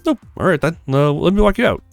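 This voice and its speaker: A man's voice: a little high, lively intonation, fast talker, creaky, no echo, soft background music, pitched above the performer's own voice. A man voicing a shy, kindly young woman who is tentative and polite, in a visual novel. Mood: grateful. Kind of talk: acted visual novel read-aloud.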